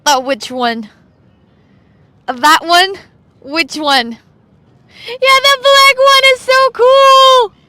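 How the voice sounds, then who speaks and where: A woman from Russia, streaming casual chat on a street with her phone, excitable and playful. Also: very high voice, drawn-out words, pauses often, dry recording.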